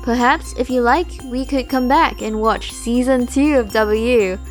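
A woman hosting a podcast, recapping a drama in a casual, thoughtful way, a little raspy and tired late at night. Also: a little high, a little slow, soft background music. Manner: thoughtful, musing. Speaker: podcast host.

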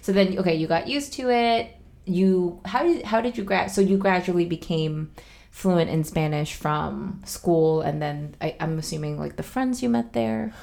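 A woman's voice: on the low side, normal speed, gravelly, darkish tone. A young adult woman hosting a podcast, chatting curiously with a friend and using frequent fillers. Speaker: podcast host. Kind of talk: casual interview.

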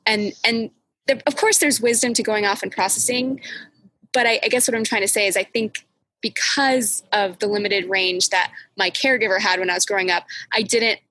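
A woman's voice: medium pitch, normal speed, pushed and bright, low-quality recording. A woman hosting a casual, reflective chat, thoughtful and searching for words. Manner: thoughtful, many fillers and restarts.

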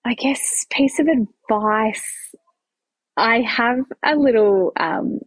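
An upbeat, chatty woman talking as a guest on a casual podcast. Her voice is a little husky and breathy. The sound is dry and close, with no room echo. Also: a little high, takes it slowly. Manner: chatty, upbeat, filler-heavy. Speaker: podcast guest, small business owner.

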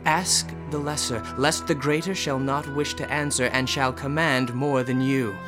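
A man narrating an eerie historical radio drama as a grave storyteller, formal and deliberate in delivery. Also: medium pitch, steady tone, close to an everyday voice. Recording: clear dramatic music underneath.

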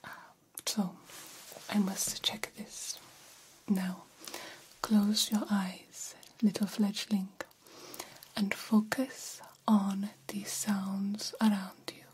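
A woman playing a soothing, formal female vampire doctor in a breathy ASMR whisper, speaking with deliberate, old-fashioned phrasing. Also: mid-range voice, flat delivery, a little mellow, heavily acted. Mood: hushed, soothing. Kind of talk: ASMR roleplay.

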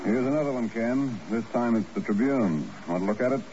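An actor playing a skeptical, plain-spoken intelligence chief with a brisk manner, in 1950s radio drama style. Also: male voice, medium pitch, dark tone, faint music behind, lightly acted. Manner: brisk, doubtful. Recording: muffled, noisy old radio recording.

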